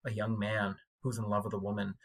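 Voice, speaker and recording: A man giving an informal English lecture, explaining things in a conversational, colloquial way like a high school teacher. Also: little pitch movement, a little fast.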